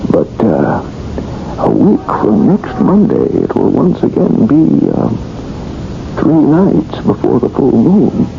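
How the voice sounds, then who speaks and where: An actor playing an ominous, knowing man who speaks in halting, portentous fashion, in a 1970s radio drama. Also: mid-range voice, huge pitch swings, creaky, exaggerated voice. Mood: veiled menace. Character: ominous, knowing man who confesses to killing his secret. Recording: muffled 1970s radio broadcast with music, noise.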